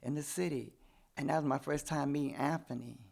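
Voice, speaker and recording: A woman's voice: low-pitched, steady tone, fairly quick, creaky, a little mellow. A woman in her seventies, a community volunteer chatting casually as a guest and retelling what neighbors said to her. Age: 70s. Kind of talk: casual storytelling.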